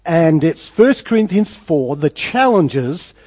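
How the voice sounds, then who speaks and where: An older Australian man, hoarse from a winter cold, talking as a pastor in a rambling, halting way with many fillers before his sermon. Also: fairly high pitch, sing-song. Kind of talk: informal sermon preamble. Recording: dull lo-fi church recording.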